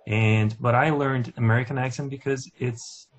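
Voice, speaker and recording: A man's voice: mid-range voice, steady tone, moderate pace, highs cut off, a little hiss or hum. A man from Brazil with a Brazilian Portuguese accent, speaking English loosely and with plenty of fillers in a casual discussion. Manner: loose, filler-heavy, "you know what I'm saying".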